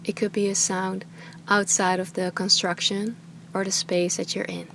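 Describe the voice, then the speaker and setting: A woman teaching meditation with a calm, soothing, unhurried delivery that often trails into breathy softness. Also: mid-range voice, a little hiss or hum. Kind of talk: guided meditation, soft slow instruction.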